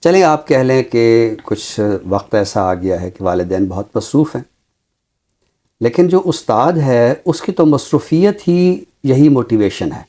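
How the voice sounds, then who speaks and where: Male academic guest, dark mellow timbre, mid pitch, deliberate and explanatory. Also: lively intonation. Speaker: Urdu teacher, programme guest. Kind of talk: considered discussion, explanatory.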